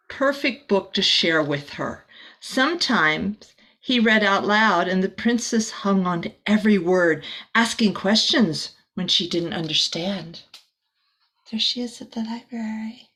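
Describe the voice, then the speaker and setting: A woman reading a picture book aloud to children like a teacher, expressively, with soft chatty asides. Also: mid-range voice, expressive, measured pace.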